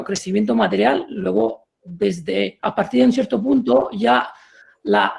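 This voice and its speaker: A man's voice: high-pitched, creaky, a little mellow, husky. A middle-aged man from Italy, speaking with an Italian accent as a panel expert, careful and hesitant, with many restarts. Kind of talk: panel discussion.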